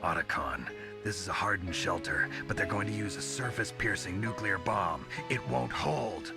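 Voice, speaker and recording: A deep, gravel-throated soldier, gruff and battle-weary. He speaks in terse, guarded phrases as video game drama, under loud music. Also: moderate pace. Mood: urgent. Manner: terse, restrained. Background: loud game score.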